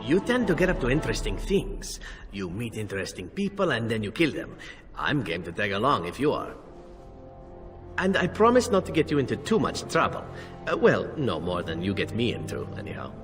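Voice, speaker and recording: An actor playing a roguish, flirtatious assassin companion in a video game, teasing and playful, talking smoothly. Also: male voice, on the low side, big pitch swings, fairly quick. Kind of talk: video game character dialogue. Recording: loud music behind. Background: loud music.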